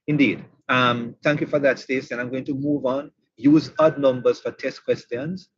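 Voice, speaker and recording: A man's voice: mid-range voice, steady tone, normal speed, slightly rough, muffled recording. A man hosting an online session for teachers. He is chatty and teasing, and he repeats his points. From Jamaica. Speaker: webinar host. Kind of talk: online professional development session.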